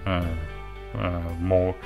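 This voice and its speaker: A man with a fairly low voice talks through an audio gear review off the cuff. He is a non-native English speaker and phrases things haltingly, with hesitation. Faint music plays behind him. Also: level tone, gravelly. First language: not English. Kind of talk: unscripted product review talk.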